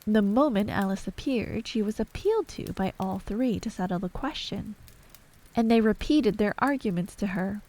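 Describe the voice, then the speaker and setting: A woman's voice: mid-range voice, a little mellow. Woman narrating a bedtime storybook.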